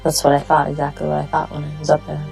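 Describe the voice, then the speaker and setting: A woman's voice: low voice, monotone, quick pace, creaky, darkish tone, faint music behind. A woman recounting personal events in a documentary interview. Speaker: crime survivor interviewee.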